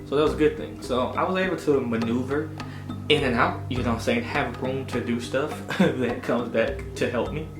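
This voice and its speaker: A man in his twenties tells a story casually, with mid-pitched voice and animated, slangy delivery. He peppers it with conversational filler phrases. The room is echoey and music plays clearly behind him. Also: moderate pace. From Augusta, United States. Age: 20s. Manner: animated, slangy, punctuated with "you know what I'm saying". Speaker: storytelling vlogger. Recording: roomy echo with music behind. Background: background music.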